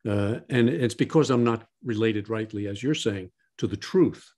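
A man's voice: fairly low pitch, normal speed, darkish tone. A man co-hosting a podcast discussion, emphatic and given to repeating key phrases. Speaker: podcast co-host.

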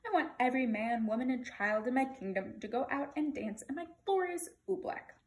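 An excitable, greedy storybook king acted by a woman speaks in a fairly high voice. He is bossy and imperious, delivering everything as a royal exclamation. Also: a little fast. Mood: gloating delight.

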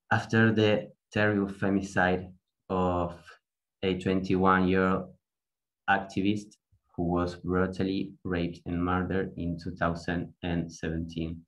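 A young adult from Argentina with an Argentine Spanish accent, giving an earnest, deliberate conference talk over a video call from a small home room, through an earphone mic. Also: low-pitched, rough recording. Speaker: activist speaker.

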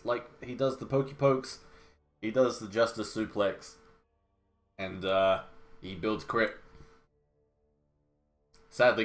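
Nasal, stuffy-nosed young man gaming. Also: on the low side. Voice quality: nasal from a blocked nose. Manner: sarcastic, swears casually, trails off and restarts. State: sick with a cold. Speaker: gaming video host. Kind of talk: casual game commentary over menus. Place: home room with wooden floors.

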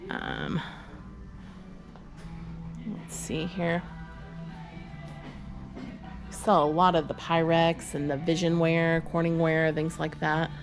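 A woman's voice: deep voice, lively intonation, takes it slowly, creaky, long pauses, over music. A woman giving a chatty, upbeat walk-through of her booths in a large indoor antique mall, recorded on the camera she carries. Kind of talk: walk-through tour vlog.

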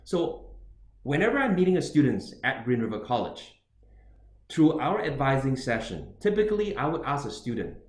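A man's voice: medium pitch, very animated, normal speed, roomy sound. A middle-aged man from Singapore, giving a webinar-style presentation as an international student advisor and often ending points with "all right?". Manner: repetitive tag questions, emphatic. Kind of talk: webinar presentation on choosing universities. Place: indoors with a virtual background.

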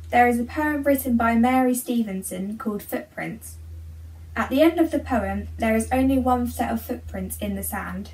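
A woman's voice: a little high, distant mic, rough recording. A teenage girl reading a prepared talk aloud from a sheet in a room at home.

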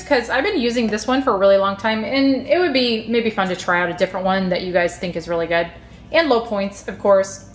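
A woman's voice: a little high, a bit bright, echoey room. A woman hosting a home cooking channel, talking casually and chattily as she walks through a recipe step by step. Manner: chatty, friendly, easygoing explanations. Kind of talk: casual recipe walkthrough voice-over.

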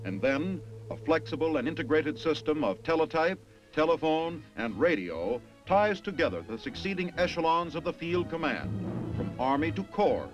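An American man narrates in the formal style of a 1950s military documentary. His voice sits a little high and he phrases each line with measured emphasis. The sound is a dull old film track, with music clearly playing behind him. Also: big pitch swings.

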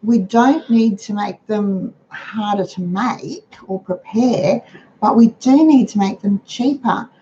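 Hoarse older Australian woman chatting. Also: takes it slowly, dark tone. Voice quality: chatty, homely manner. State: hoarse, croaky voice. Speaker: host of a frugal living livestream. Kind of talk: casual livestream talk. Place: home dining room. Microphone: webcam on a computer. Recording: dull low-fi webcam sound with faint noise.

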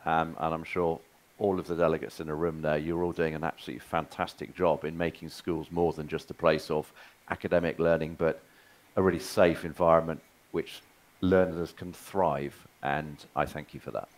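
A man's voice: very deep voice, level tone, normal speed. A man panelist speaking in an upbeat, appreciative way.

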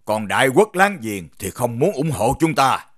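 An actor playing a formal, obsequious royal officer reporting to rulers in an animated fairy tale. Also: male voice, medium pitch, moderate pace.

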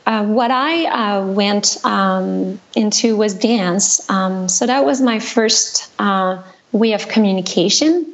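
A woman from Quebec with a Québécois French accent, French her first language, chatting as a podcast guest with many pauses and ums. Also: mid-range voice, dull audio. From Quebec, Canada. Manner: hesitant, many ums, warm laughing asides. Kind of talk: casual interview chat.

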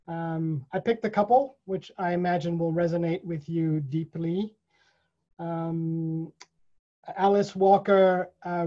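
An older man hosting an online event, giving welcoming remarks in a measured way, pausing and repeating phrases deliberately. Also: high-pitched, mellow. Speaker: event host. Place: small indoor room. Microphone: computer mic on a video call. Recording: dull, lo-fi video call audio.

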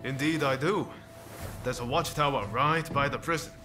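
An actor playing a cheeky, excitable samurai comrade in a game cutscene, playful and eager. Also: male voice, mid-range voice, normal speed, music in the background, close to an everyday voice. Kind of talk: animated game cutscene acting.

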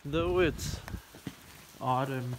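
A man's voice: mid-range voice, extremely slow. The guy filming himself on a forest trail, rambling casually into his phone at arm's length as he hikes, outdoor noise around him. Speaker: hiking vlogger. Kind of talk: casual rambling vlog commentary while walking. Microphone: phone camera at arm's length. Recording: noisy outdoor handheld recording.

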